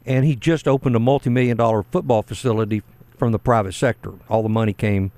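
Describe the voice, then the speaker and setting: A man's voice: medium pitch, fairly even intonation, fast, darkish tone, no room sound. A man co-hosting a sports talk radio show, given to dry, teasing quips. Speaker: radio co-host. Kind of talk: casual sports radio banter.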